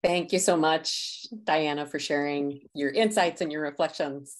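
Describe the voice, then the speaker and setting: A woman hosting a video call event, reading a prepared introduction of the next speaker in a measured, formal way. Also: deep voice, steady tone.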